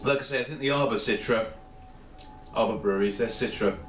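A man's voice: mid-range voice, a little fast, distant mic. Tired guy rambling on happily, kitchen echo, a bit off mic, faint hum behind. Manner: chatty, rambling, enthusiastic. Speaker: beer reviewer. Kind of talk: casual solo review. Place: small home kitchen, indoors. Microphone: camera mic at a few steps. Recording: roomy, off-mic home camera sound.

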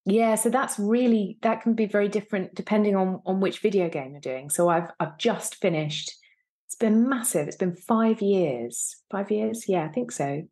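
A woman's voice: mid-range voice, normal speed, dark tone. A woman in her thirties talking casually as an interview guest, thinking aloud as she goes, on a video call. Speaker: guest, actor. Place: indoor room, on a video call.

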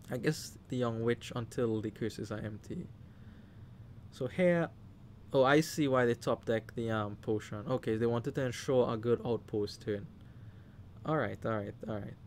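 Trinidadian guy doing game commentary at his desk, mid-pitch, chatty and gets loud when it's exciting. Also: slight background noise. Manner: animated, talks to the players, shouts when excited.

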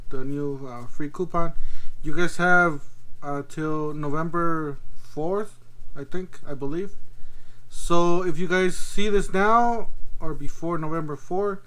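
A man's voice: on the high side, a bit bright, clean tone, low-quality recording. A man in his thirties casually reviewing a product at an office desk, rambling with lots of ums and restarts. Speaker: tool reviewer. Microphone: clip-on camera mic.

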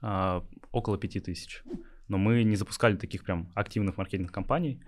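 Deep-voiced young man, hesitant. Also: fairly quick. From Yakutia, Russia. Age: young adult. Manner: hesitant, many filler sounds, laughs easily. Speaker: startup founder, interview guest. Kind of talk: interview answers.

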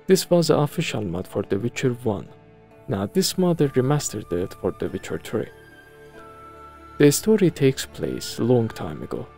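Lively male narrator with creaky voice. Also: medium pitch, unhurried. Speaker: video presenter. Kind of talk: scripted game review narration. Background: background music.

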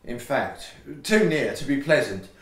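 A man's voice: mid-range voice, very animated, unhurried, fairly bright tone. Guy playing a touchy pompous victorian gent, stagey farce, voice swoops around, bit roomy. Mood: pompous, confiding. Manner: pompous, mannered, formal. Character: indignant, theatrical Victorian gentleman lodger, pompous and touchy. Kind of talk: stagey comic farce acting for an audio play.

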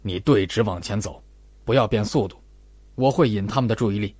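A cold, scheming policeman from a suspense audiobook, played by a male narrator. He speaks low and hushed, in a terse, matter-of-fact way, close and dry. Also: brisk, husky, no echo. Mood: apologetic, quiet.

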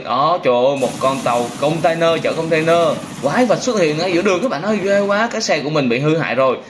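A man's voice: mid-range voice, a bit bright, slight background noise. A young man gives simple, exclamatory, repetitive commentary on a vehicle game for children. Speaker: host of a children's gaming channel.

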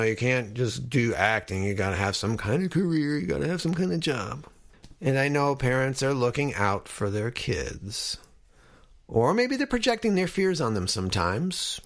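A middle-aged man hosting a podcast, talking in a casual, reflective way. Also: mid-range voice. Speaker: podcast host.

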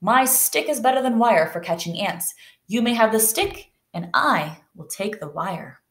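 Determined little boy, storybook character, played by a woman, raised pitch, echoey room. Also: expressive, measured pace, fairly bright tone. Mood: confident. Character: determined, clever seven-year-old boy making a wire toy. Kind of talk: read-aloud children's storybook.

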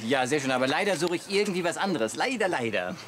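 Smooth, gushing photographer who orders people around, played by a man, animated delivery, radio play with faint outdoor sounds behind. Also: fairly high pitch, quick pace, noisy background, close to an everyday voice. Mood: businesslike. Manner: gushing, directive, slick. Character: smooth-talking, bossy advertising photographer. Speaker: actor in a radio comedy. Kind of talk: German radio play acting.

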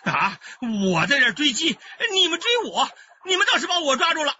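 An actor playing a flustered, indignant street chicken seller in a radio drama. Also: male voice, very high voice, sing-song, a little fast, sharp tone, lo-fi sound, slight background noise, full character voice.

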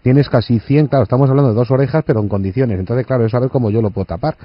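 A man's voice: rapid-fire, no echo. A man with an accent from Spain making a radio prank call as a dressmaker, politely insistent and often repeating himself. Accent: Spanish, from Spain. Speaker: prank caller, radio show host. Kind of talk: prank phone call posing as a wedding dressmaker. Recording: muffled, low-fidelity radio phone prank.

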